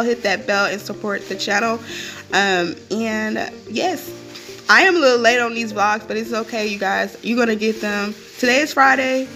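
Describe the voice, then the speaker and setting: A woman's voice: medium pitch, sharp tone. Chatty woman vlogger with music behind. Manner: chatty, upbeat, lots of "um" and "you guys". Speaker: vlogger showing a grocery haul. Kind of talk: casual chatty haul vlog. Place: home kitchen, indoors.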